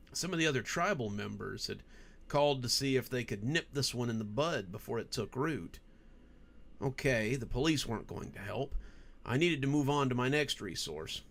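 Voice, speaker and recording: Male horror narrator, average pitch, reading a scripted first-person creepypasta. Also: a bit bright.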